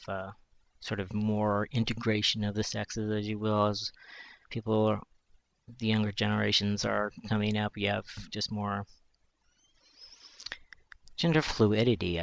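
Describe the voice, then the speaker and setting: Soft-spoken man with a high voice. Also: gravelly, highs cut off. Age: 40s. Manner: thoughtful, searching, with pauses and restarts. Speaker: journalist. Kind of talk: reflective personal monologue.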